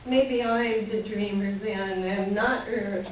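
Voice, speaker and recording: A woman's voice: medium pitch, fairly even intonation, takes it slowly, close to an everyday voice. A woman playing a lonely, frustrated farm wife in a play reading, plain-spoken and wistful. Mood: hurt, yearning. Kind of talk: staged play reading, conversational dialogue. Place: library reader's theater reading. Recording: echoey room from far off, faint noise.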